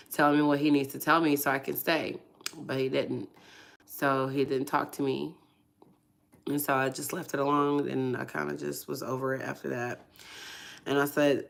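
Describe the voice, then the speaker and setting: Hoarse, congested woman with a low voice. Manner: soft-spoken, hesitant, many fillers. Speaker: ministry livestream host. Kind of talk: casual testimony livestream.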